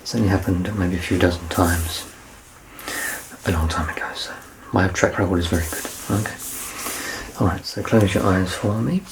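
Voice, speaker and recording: A man in his thirties doing a soft-spoken ASMR roleplay as a doctor, gentle and reassuring, often close to a whisper. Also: deep voice, moderate pace, long pauses. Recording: clear background noise and ambience.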